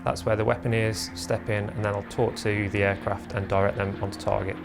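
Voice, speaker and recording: Guy in an interview, monotone delivery, music in the background. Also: fairly low pitch, fairly quick, vocal fry. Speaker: military training instructor. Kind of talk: informal interview explanation.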